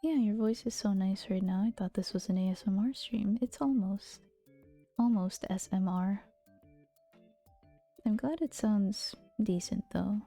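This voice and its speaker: A woman streaming and chatting close to the mic, her voice soft and gentle and often dropping into a half-whisper. Her tone is mellow, and she teases playfully with frequent giggles. Quiet music plays faintly behind her. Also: mid-range voice, a little slow. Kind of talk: casual chat stream. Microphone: close to a regular mic.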